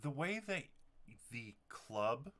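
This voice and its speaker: A man narrating a tabletop roleplaying game as game master, talking haltingly as he thinks things through. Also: medium pitch, very animated, pushed and bright.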